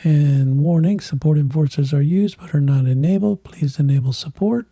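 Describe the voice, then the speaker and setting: A man hosting a tech channel, thinking aloud in halting bits while he clicks through software, with a voice that is giving out. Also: on the high side, dry recording. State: losing his voice. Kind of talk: casual screen walkthrough.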